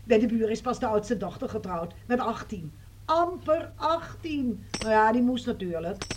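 A woman's voice: on the high side, animated, moderate pace, a little mellow, recorded from a distance, a little hiss or hum, close to an everyday voice. An actress playing a chatty, gossiping middle-aged housewife in a radio play, fussing about her son and the neighbours.

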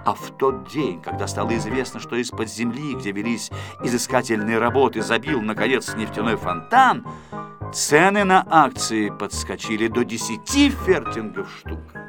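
A man narrating a children's story in an expressive, scripted audiobook style. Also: on the low side, moderate pace, music in the background.

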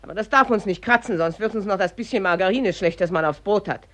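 An actress playing a gossipy, callous older working-class housewife in a mid-century radio drama, colloquial and falsely sympathetic. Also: female voice, mid-range voice, fast, a bit bright, lightly acted. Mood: callous, shrugging.

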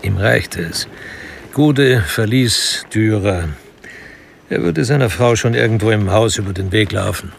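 A man narrating a crime radio drama in a measured, dry, literary manner, detached and wry. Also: lowish voice, creaky, a little hiss or hum. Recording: music under studio narration. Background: music.

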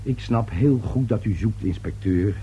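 A man's voice: on the low side, measured pace, mellow, highs cut off, natural voice. An actor playing an irritable, domineering middle-aged father and businessman in a radio play. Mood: startled, impatient. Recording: hummy, noisy old radio recording.

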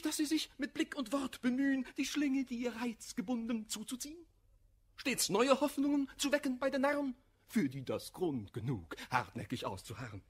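An actor playing a jealous, hot-tempered gentleman in love, declaiming bitter rhymed verse in a classical radio comedy. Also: sits high, husky, full character voice.

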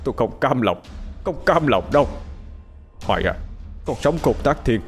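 A man's voice: medium pitch, very animated, fast, darkish tone, soft background music, close to an everyday voice. A man voicing a grieving old father who cries out in anguished pleas.

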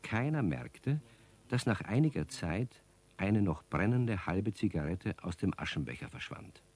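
A man's voice: fairly low pitch, animated, measured pace, a little mellow, slightly rough, heavily acted. A man acting as narrator of a 1970s children's radio play, reading a scripted tale as a storyteller. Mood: calm storytelling.

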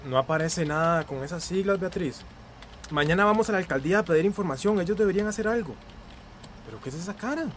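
A man's voice: high voice, animated, quick pace, a little hiss or hum. A man playing a concerned, practical friend in a radio drama, earnest and explanatory.

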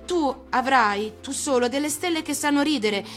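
A woman voicing a tender, wistful little boy in a storybook, earnest and halting, often repeating words. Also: high voice, fairly bright tone, faint music behind, pitched above the performer's own voice. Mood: bright, playful. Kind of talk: dramatic storybook reading.

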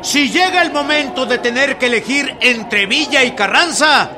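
A man playing an embattled revolutionary general in a radio drama, loudly and insistently addressing an unruly assembly in declamatory style. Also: extremely high pitch, moderate pace. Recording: shouting crowd, loud outdoor noise.

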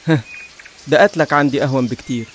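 An actor playing a spiteful, envious young brother in a cartoon story, menacing and scornful, with an Egyptian accent. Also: male voice, medium pitch, quick pace, a little hiss or hum, natural voice. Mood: spiteful menace.

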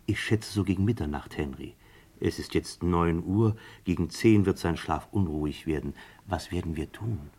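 An actor playing a dutiful, slightly timid henchman in an old German crime radio play, deferential and deliberate. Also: low voice, warm tone, husky. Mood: dutiful, subdued.

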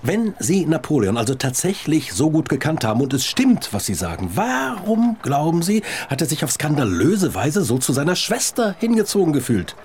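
An actor in a radio play playing a sceptical, patronising nineteenth-century doctor, ironic and incredulous. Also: male voice, a little high, very animated, moderate pace, few pauses, darkish tone, slight background noise. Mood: indulgent, incredulous.

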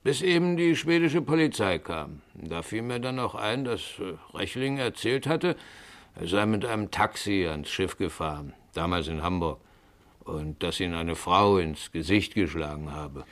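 Casting: older ship's captain, very low voice, hesitant and defensive, 1950s radio crime drama. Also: male voice, expressive, unhurried, a bit bright, heavily acted. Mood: troubled, defensive. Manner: hesitant, explaining. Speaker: actor in a radio crime play. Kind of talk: 1950s German radio play acting.

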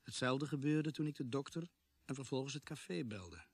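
An actor playing an earnest policeman who tells his story gravely and with measure in a radio drama. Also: mid-range voice, expressive, moderate pace, no echo. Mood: sober. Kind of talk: radio drama acting and narration.

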